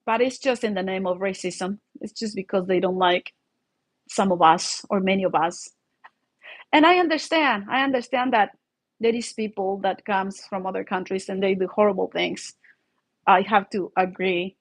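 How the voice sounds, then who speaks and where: A woman with a Mexican accent speaks English as a native Spanish speaker, at a middling pitch. She hosts a solo vlog in an informal, conversational style. Her delivery rambles, with little checks for agreement along the way. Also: moderate pace.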